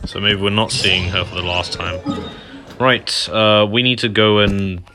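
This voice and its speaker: Low-voiced man doing game commentary. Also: steady tone, unhurried. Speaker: gaming video host. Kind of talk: casual game playthrough commentary. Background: game music.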